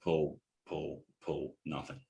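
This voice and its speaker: A man's voice: a bass voice, flat delivery, slow, creaky, warm tone. A man reading scene directions as the narrator of a drama script. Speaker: narrator at a table read.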